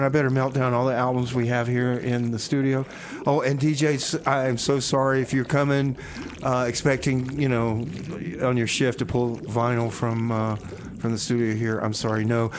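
A man's voice: medium pitch, noisy background. A man casually hosting community radio with comedic banter, often repeating his phrases.

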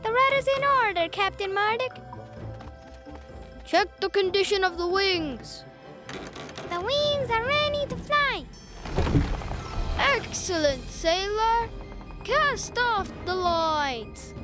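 A woman's voice: very high-pitched, expressive, takes it slowly, long pauses, over loud music, full character voice. A woman voicing a tiny, eager little boy squirrel in a children's cartoon, childlike and playful. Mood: playful, proud. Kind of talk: dubbed children's cartoon acting.

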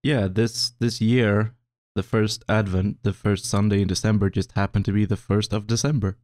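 A man's voice: lowish voice, steady tone, moderate pace, a little mellow, no echo, studio quality. A young Swedish man co-hosting a podcast in casual chat, speaking hesitantly with many restarts. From Sweden. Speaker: podcast co-host.

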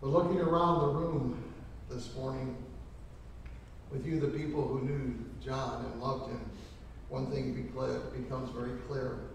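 A man's voice: medium pitch, fairly even intonation, unhurried, slight background noise. A middle-aged man preaching at a funeral service, earnest, with folksy storytelling. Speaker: pastor. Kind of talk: funeral sermon. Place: large church sanctuary. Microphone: lectern microphone from a far camera. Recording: echoey church from far off.

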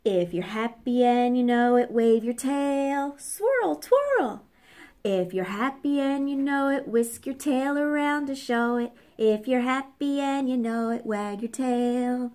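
A cheerful little brown dog from a storybook played by a woman. It sings in a high voice with a slow, happy lilt. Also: lively intonation, darkish tone. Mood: cheerful singing. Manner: cheerful, sing-song.

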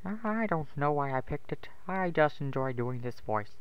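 A man voicing a wacky male mad-scientist villain in a strained, pitched-up, weird voice. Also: animated, a little slow, smooth. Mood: zany. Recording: muffled lo-fi home mic.

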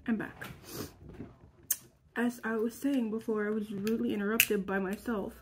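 Congested young woman, hoarse and chatty. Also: fairly high pitch, little pitch movement, normal speed, background noise. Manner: chatty, swearing, coughs between lines. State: congested and sick. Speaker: vlogger. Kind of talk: casual chat to camera. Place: living room at home. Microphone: camera close to face.

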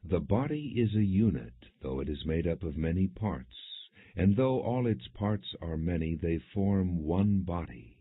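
A man reading scripture as an audiobook narrator, in a measured, solemn, husky voice. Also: deep voice, expressive, no room sound. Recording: dry, narrow phone-like sound.

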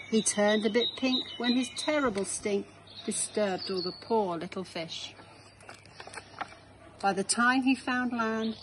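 A middle-aged woman with an English accent reads a children's storybook aloud in a measured, clearly phrased way. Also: fairly high pitch. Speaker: head teacher reading a picture book. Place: outdoors in a small garden. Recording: outdoor background noise.

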